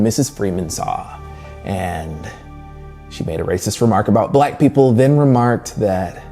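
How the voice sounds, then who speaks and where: Low, mellow-voiced man narrating dramatically over music. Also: creaky. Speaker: host of a horror literature channel. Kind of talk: scripted video essay narration, storytelling review. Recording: music bed behind the voice. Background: background music.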